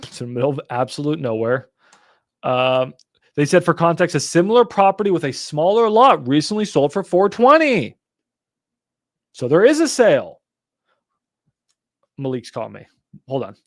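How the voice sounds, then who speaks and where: A young man hosts a casual live stream, his voice on the high side and jumping into loud, swearing exasperation before he settles back to explaining deals. The sound is very dry and close, with no room around it. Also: normal speed, pauses often, no room sound. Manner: excitable, swears when exasperated, talks to chat. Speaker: real estate wholesaling livestream host. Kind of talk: casual livestream teaching.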